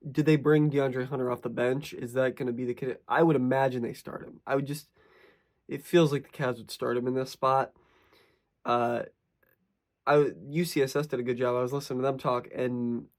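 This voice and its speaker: A young man, sick with a cough and slightly raspy, giving casual sports analysis as a channel host in a rambling, self-correcting way. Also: medium pitch, darkish tone.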